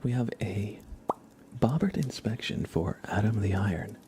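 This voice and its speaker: Slow, half-whispering low-voiced ASMR man. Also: lively intonation, slight background noise. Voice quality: soft, breathy half-whisper. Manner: gentle, unhurried, informal. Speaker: ASMR livestream host.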